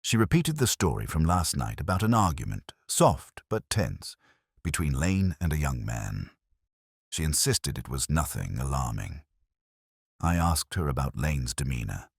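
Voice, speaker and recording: A man voicing a brooding older police detective who narrates his case in a measured, literary, reflective way. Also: very deep voice, very animated, gravelly, slightly rough, dry recording, studio quality, full character voice. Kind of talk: scripted first-person mystery narration.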